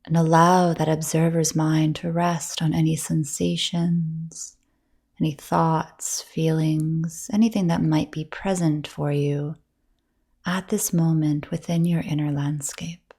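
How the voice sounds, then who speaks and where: A woman leading a guided meditation as a yoga nidra teacher, speaking softly and soothingly with breathy gentleness and long pauses between phrases. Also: sits low, level tone.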